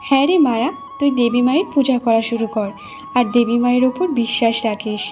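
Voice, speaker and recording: A woman's voice: a little high, fairly even intonation, a little fast, a little mellow, soft background music, lightly acted. A woman voicing a kindly, encouraging village friend in a cartoon, chatty and reassuring. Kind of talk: Bengali moral cartoon voice acting.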